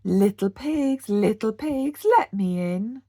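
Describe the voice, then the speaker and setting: A woman voicing a hungry, menacing storybook wolf who bellows drawn-out threats. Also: mid-range voice, animated, mellow, dry recording, clean studio sound, pitched above the performer's own voice.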